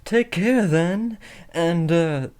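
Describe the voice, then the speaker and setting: A man's voice: fairly high pitch, extremely slow, darkish tone, clean tone. A young man acting an awkward, earnest teenage boy who is trying to be a supervillain, hesitant and often correcting himself, in a comedic audio roleplay. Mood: awkwardly reassuring.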